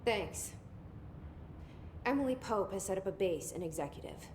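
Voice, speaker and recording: A determined young heroine in charge of an agency, from a video game, played by an actress. Her voice is mid-pitched, terse and direct. It rings with echo over clear ambient noise. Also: animated, moderate pace, darkish tone, close to an everyday voice. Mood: resolute, businesslike. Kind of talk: video game cutscene dialogue.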